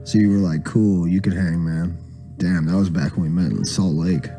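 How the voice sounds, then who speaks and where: A man's voice: low-pitched, steady tone, moderate pace, mellow, soft background music. A man doing spoken-word storytelling in a slangy, profane, casual manner.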